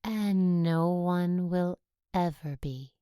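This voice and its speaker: Stern convent sister for audio drama, female actor. Restrained authority, solemn, very slow delivery, dry recording. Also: lowish voice, dark tone, clean tone, dry recording. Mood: solemn, measured. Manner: measured, solemn.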